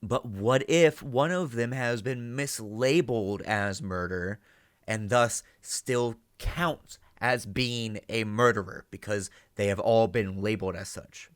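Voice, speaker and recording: A man with a lowish voice hosts a reaction video in a casual, analytical way. He muses aloud, checking his points as he goes, in a dry, close recording. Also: slow, clean tone, no echo. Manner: musing, thinking aloud, seeking agreement with "right?".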